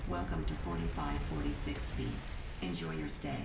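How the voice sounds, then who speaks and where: A woman playing a calm onboard ship computer in a video game, making measured, formal announcements. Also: very deep voice, gravelly, slight background noise, full character voice, poor audio.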